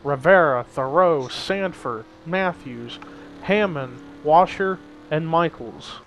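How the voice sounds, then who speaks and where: A man's voice: fairly high pitch, lively intonation, slow, a bit bright, poor audio. A young man giving casual play-by-play commentary on a simulated race, chatty and lifting his energy at the big moments. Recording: faint background noise.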